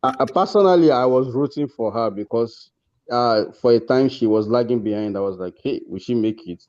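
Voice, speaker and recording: Nigerian man, mellow voice, dull call audio. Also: medium pitch, brisk. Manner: encouraging, congratulatory. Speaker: host presenting course awards. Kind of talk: informal ceremony speech on a video call. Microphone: video call.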